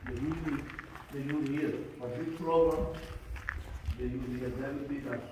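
Male tour guide, halting and filler-heavy, picked up far off in a noisy, echoey space. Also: medium pitch, steady tone. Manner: halting, fills pauses with "you know". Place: unfinished concrete building, indoors and out. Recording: echoey, distant, noisy background.

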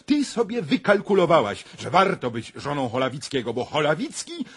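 An actor playing a jealous, sneering fiancé in a radio drama, bitter, mocking and agitated. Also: male voice, fairly high pitch, wildly swooping pitch, moderate pace, a bit bright, husky, exaggerated voice. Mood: bitter mockery. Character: jealous, sneering, high-strung fiancé, a scheming secretary. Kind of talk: 1990s Polish radio drama acting.

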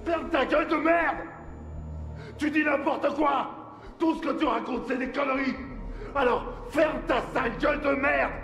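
Crazed foul-mouthed killer, horror game, creaky and threatening, in reverb over soft music. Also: male voice, very high-pitched, steady tone, moderate pace, full character voice. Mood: raging. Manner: menacing, cursing. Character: paranoid, murderous, foul-mouthed man gone mad. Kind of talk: video game dramatic acting. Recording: echoey metal hall, faint music.

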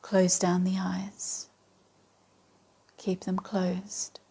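A woman with a soft, breathy voice leading a guided meditation in a calm, measured way with long pauses. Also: fairly low pitch. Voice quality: soft, breathy, partly whispery. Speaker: yoga nidra meditation guide. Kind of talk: guided meditation, slow and soothing instruction.

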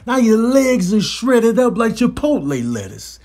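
A man's voice: very high voice, very animated, unhurried, a little mellow. An American man doing slangy, improvised comedic voiceover with theatrical, exclamatory scolding.

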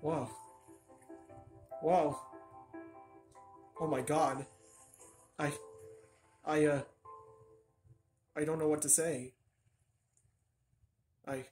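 A person voicing an excitable young turtle plush reacting to a video in halting, repeated exclamations. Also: medium pitch. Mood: amazed. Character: young male Bowser Junior plush reacting, excitable, earnest. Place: indoor room at home. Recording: music from laptop behind the voice.

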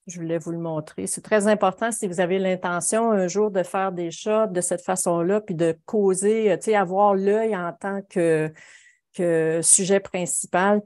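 A woman's voice: on the low side, darkish tone. A middle-aged woman with a Quebec French accent, chatting informally as she demonstrates watercolour painting over a video call from her studio. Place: home studio, indoors.

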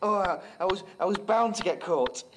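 A man performs an agitated, proud murder suspect in a gothic audio drama. His delivery is theatrical and stammering, with lively swings in pitch. There is faint noise behind the voice. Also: moderate pace. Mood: anguished. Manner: stammering, groaning, theatrical outbursts.

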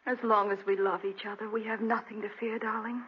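A woman's voice: medium pitch, flat delivery, fairly quick, a little hiss or hum, lightly acted. An actress playing an anxious, devoted young wife and mother, tender and pleading, in a 1940s radio drama. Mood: tender, hopeful. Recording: dull restored 1940s radio recording.

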